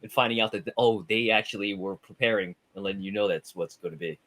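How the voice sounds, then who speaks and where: A man's voice: on the low side, fast talker, a bit bright. A man in casual film discussion, speaking bluntly and with strong opinions as a show panelist. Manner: blunt, opinionated, some swearing.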